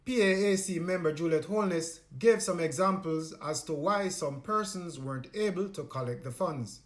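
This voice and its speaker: Higher-pitched Jamaican male news reporter. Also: moderate pace. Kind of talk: scripted report narration.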